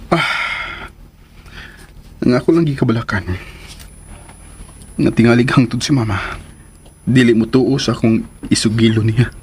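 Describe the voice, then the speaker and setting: Tormented radio drama man, played by an actor, halting. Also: medium pitch, a little hiss or hum, close to an everyday voice. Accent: Filipino. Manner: halting, hushed inner monologue. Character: tormented man haunted by his secret identity. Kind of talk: Philippine radio drama acting. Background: dramatic background music.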